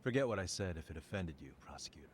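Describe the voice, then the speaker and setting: A deep, blunt detective. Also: male voice, animated, very fast, slight background noise. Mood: sardonic. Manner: dry, blunt, sardonic. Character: blunt, sardonic male detective and lawyer.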